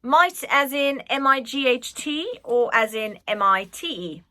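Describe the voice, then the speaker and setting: A woman's voice: sits high, animated, a little slow, pushed and bright, pitched above the performer's own voice, exaggerated voice. Woman playing an earnest young storybook prince. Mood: curious, insistent. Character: sickly, bookish, well-bred young prince.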